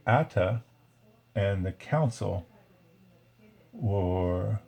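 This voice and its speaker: A man reads a storybook aloud with lively, swinging intonation. His voice is a little husky, and he drops in chatty asides as he goes. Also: lowish voice, drawn-out words. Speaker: storybook reader. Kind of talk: read-along story narration with casual asides.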